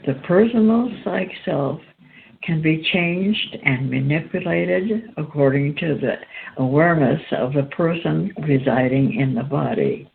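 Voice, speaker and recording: A woman host reading aloud from a spiritual text in a halting, deliberate way. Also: sits low, creaky. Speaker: host and reader. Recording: tinny phone-line sound, background noise.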